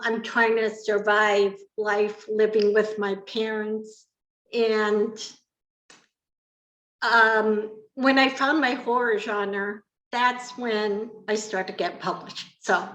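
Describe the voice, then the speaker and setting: A woman's voice: medium pitch, fairly even intonation, slow, a bit bright, echoey room, rough recording. A woman casually introducing herself as a podcast guest.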